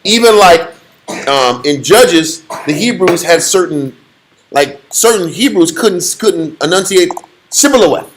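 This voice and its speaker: A man's voice: high voice, lively intonation, unhurried, slight background noise, low-quality recording. A man leading a casual Bible study in a blunt, joking, slangy way.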